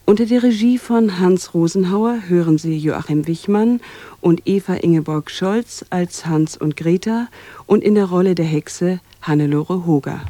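A woman's voice: on the low side, a little slow, dark tone, dry recording. A woman reading a formal scripted introduction as a radio announcer. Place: dry radio studio.

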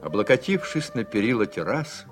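A man giving measured, literary narration for a radio play. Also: lowish voice, very animated. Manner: measured, descriptive. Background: music.

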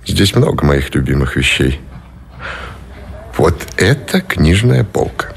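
An actor playing a grave, courtly middle-aged Russian admiral in love, in measured, tender radio drama style. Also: male voice, rumbling low, big pitch swings, gravelly, exaggerated voice. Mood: calm, warm.

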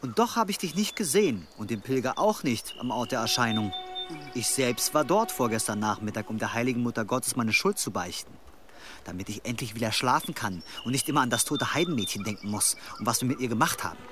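A man's voice: mid-range voice, animated, a little fast, music in the background, lightly acted. A man playing a stern, righteous medieval monk in a German radio play, admonishing and interrogating. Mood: stern, probing.

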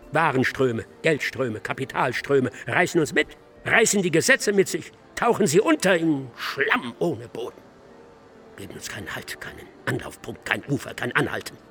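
A distraught man in a literary radio drama, his voice set a little high. He broods and declaims with agitation, circling back on his own phrases as guilt and despair press on him. Faint music runs behind him. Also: fairly bright tone. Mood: agitated, urgent.

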